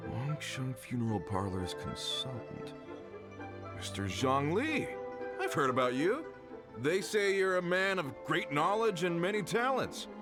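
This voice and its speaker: A man's voice: a little high, sing-song, unhurried. A man voicing a boastful middle-aged dock foreman who talks in a showy, blustering way. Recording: game dialogue over music.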